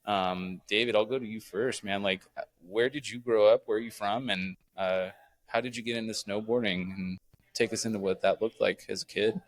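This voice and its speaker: A man's voice: on the low side, fairly even intonation, moderate pace. A young man hosting a casual podcast interview, laid-back and hesitant with plenty of fillers. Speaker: podcast host.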